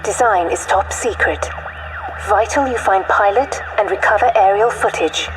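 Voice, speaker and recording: A woman's voice: mid-range voice, normal speed, music in the background. A woman playing a terse, businesslike wartime radio operator in a game, sending clipped telegraphic orders over a radio. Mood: urgent, formal. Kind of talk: dramatic game cutscene acting. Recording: distant-sounding military radio transmission.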